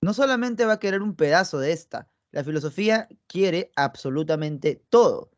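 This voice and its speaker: A young man with a high voice lectures on philosophy over a video call. He talks chattily and keeps checking in with his students. The computer-mic audio is dull and muffled. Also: lively intonation, moderate pace. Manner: chatty, tags phrases with "¿no?" and "¿ya?"; talks directly to students, affectionate asides. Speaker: philosophy teacher. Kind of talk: online pre-university lecture.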